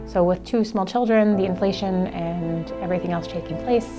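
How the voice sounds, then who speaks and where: A woman's voice: fairly low pitch, normal speed. A woman, a mother of two, giving a personal testimony as a client of a service. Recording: music bed under the voice.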